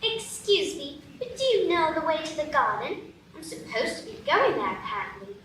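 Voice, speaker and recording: Woman doing a bright, high little girl, proper and eager, echoey stage. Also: moderate pace. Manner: earnest, excitable, prim. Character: polite curious young girl. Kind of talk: live radio play acting. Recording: echoey stage, distant mic, room noise.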